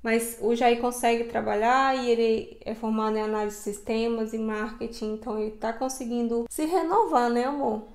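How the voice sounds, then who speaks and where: Higher-pitched Brazilian woman, echoey room. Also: steady tone, normal speed, few pauses, a little mellow. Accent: Brazilian Portuguese. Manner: conversational, colloquial, explanatory. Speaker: travel vlogger. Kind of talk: casual chat to camera. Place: indoor living room, seated on sofa. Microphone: lapel mic.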